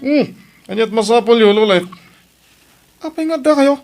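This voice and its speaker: A man's voice: very high voice, expressive, normal speed, a little mellow, soft background music. A man with an Ilocano accent playing a curt, agitated, evasive father who rejects his child in a radio drama. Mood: wary.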